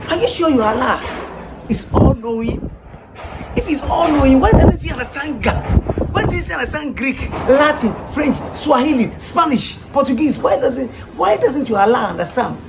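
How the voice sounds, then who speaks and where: High-voiced Ghanaian woman preaching. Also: big pitch swings, moderate pace. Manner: rhetorical, repeated questions with laughs. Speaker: street preacher. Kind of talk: preaching to listeners. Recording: noisy ambient background.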